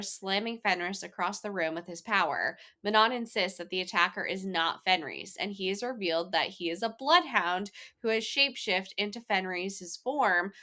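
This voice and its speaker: A woman's voice: mid-range voice, expressive, normal speed, hardly any pauses, pushed and bright. A woman co-hosting a book club podcast, moving between casual discussion and prepared summaries. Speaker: podcast co-host.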